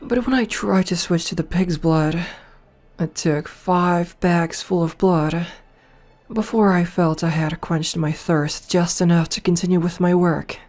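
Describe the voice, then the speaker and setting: A voice actress playing a dominant, flirtatious noble vampire princess in a soft, slow ASMR roleplay, recorded close to the mic. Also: female voice, on the low side, fairly even intonation, close to an everyday voice. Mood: musing. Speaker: voice actor. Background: faint music.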